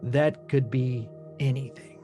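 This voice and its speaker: A man's voice: mid-range voice, steady tone, slow pace, dark tone, soft background music, lightly acted. A man playing a cocky, self-important government agent in a screenplay table read. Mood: smug, evasive.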